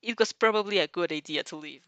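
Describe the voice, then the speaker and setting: A woman's voice: fairly low pitch, quick pace, fairly bright tone, no echo, highs cut off. A woman reading fanfiction aloud and reacting to it, with a giggly manner that often tips into laughter. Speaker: fanfiction reader and reaction host.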